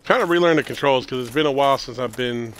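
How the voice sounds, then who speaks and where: A man in his thirties hosting a casual gameplay stream, stuffy with a cold and clearing his throat, rambling and correcting himself as he talks. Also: mid-range voice, fast talker, a bit bright, slight background noise. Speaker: streamer host.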